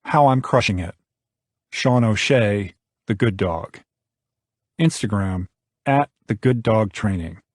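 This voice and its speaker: A man's voice: on the low side, slow, pauses often, dry recording, studio quality. A man narrating a nonfiction audiobook from a script.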